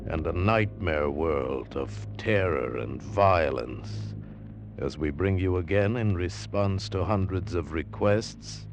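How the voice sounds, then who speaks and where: Vintage radio drama announcer, male, dramatic, unhurried and weighty, over clear music. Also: sits low. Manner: grave, dramatic.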